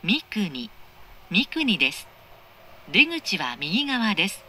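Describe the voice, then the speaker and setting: Measured, bright woman announcing on a train. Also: medium pitch, big pitch swings. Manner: formal, measured, precise enunciation. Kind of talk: scripted automated station announcement. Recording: clear train noise, recorded inside carriage. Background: moving train interior noise.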